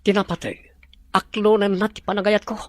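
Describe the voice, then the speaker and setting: A man's voice: high-pitched, flat delivery, a little fast, no echo, natural voice. A man with an Ilocano accent playing a scheming, money-hungry boss in a radio drama. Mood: smug, calculating.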